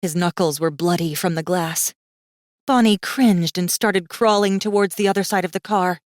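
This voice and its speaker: A woman's voice: fairly low pitch, normal speed, dry recording, clean studio sound. A woman narrating a fantasy audiobook in a dramatic, scripted style with tense, suspenseful storytelling.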